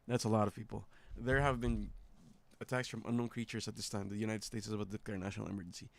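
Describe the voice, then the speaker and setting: Low-voiced man casually reacting on a stream. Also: flat delivery, fast talker, gravelly. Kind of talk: casual reaction commentary, reading on-screen text aloud.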